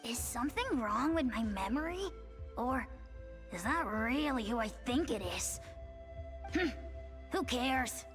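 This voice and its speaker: A woman voicing a tiny floating girl companion in a video game who talks in an excitable, shrill way. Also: over music. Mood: musing. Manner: shrill, excitable, quick to protest. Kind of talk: video game cutscene voice acting.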